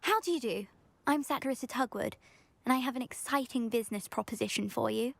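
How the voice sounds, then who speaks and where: A high-voiced, snobbish British schoolgirl. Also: a little fast, vocal fry, a bit bright, no echo. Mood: grand, persuasive. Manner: pompous, affectedly genteel. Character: snobbish, vain teenage schoolgirl entrepreneur, sugary and scheming. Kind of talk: video game character acting.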